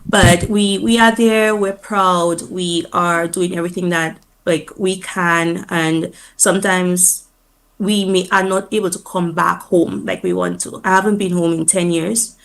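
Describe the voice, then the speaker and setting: A woman with a Dominica accent and a somewhat low voice, chatting as a radio guest. She speaks with lively pride, laughs easily, and fills her sentences with "um" and "like". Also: a little slow, gravelly, poor audio. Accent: Dominican (Dominica) Caribbean English. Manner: animated and proud, laughing often, with fillers like "um" and "like". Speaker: guest, teacher. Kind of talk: casual radio interview.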